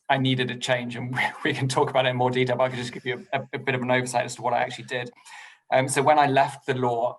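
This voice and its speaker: Middle-aged english guy on a podcast, gravelly and kinda flat, lots of ums and little laughs. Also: medium pitch, slight background noise. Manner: polite, self-deprecating, with many ums and laughs. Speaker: podcast guest. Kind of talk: casual interview chat.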